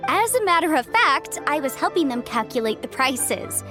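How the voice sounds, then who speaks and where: A clever, breezy young adviser with a high, bouncy voice that swings up and down. Faint game music plays behind her. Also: big pitch swings. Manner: breezy, playful, quick to justify herself. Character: young woman legal adviser, lively and clever. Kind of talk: game voice acting. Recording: studio voice with faint background music.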